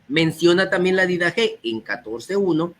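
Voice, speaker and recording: A man, a guest speaker, who is slightly hoarse from a cold and reads quotations aloud before explaining them. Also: high voice, animated, a little slow. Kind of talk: teaching talk on early church texts.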